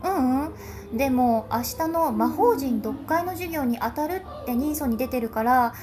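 A high, girlish voice acted by a woman as a laid-back older student in an amateur audio drama. She is friendly and a little quirky, talking casually over clearly audible music. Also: animated, normal speed. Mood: quirky, calm.

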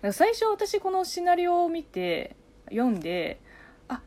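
A young woman, a native Chinese speaker, chatting casually with a friend, talkative, animated and quick to laugh. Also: very high-pitched. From China. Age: young adult. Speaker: radio talk host, amateur voice actor. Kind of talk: casual chat between friends.

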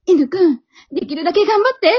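An earnest young boy hero voiced by a woman in a comedy radio drama. He has a high, boyish voice and an exasperated, pleading way of talking. The audio is a bit dull. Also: expressive, quick pace. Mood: flustered, scolding.

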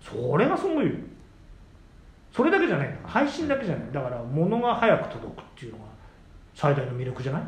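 Animated, higher-voiced man bantering. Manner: animated, quick to retort and question his partner. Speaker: podcast co-host, the senior of the duo. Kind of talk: casual bantering chat. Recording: roomy echo, faint background noise.

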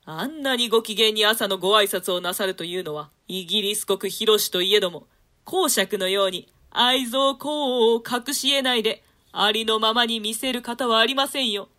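女性が演じる 人の好い忠実な貴族. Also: female voice, fairly high pitch, normal speed, bright, forceful tone. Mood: earnest. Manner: earnest, formal and deferential. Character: trusting male lord, naive and loyal. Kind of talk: dramatic play reading.

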